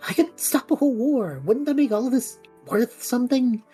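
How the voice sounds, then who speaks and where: A woman playing a restless, idealistic young male traveler with a husky voice in an audio drama, casual and earnest. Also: fairly high pitch, moderate pace, mellow, soft background music. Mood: determined. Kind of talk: scripted audio drama acting.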